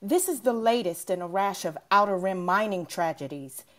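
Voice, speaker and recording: A woman's voice: mid-range voice, expressive, normal speed, fairly bright tone, natural voice. A woman voicing a matter-of-fact breaking news anchor at a screenplay table read. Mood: grave, formal.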